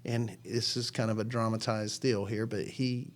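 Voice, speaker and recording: A man's voice: fairly low pitch, little pitch movement, moderate pace. A man in his forties, a ministry founder, telling his story as a podcast guest in an earnest, rambling way with many restarts. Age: 40s. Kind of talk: casual chat.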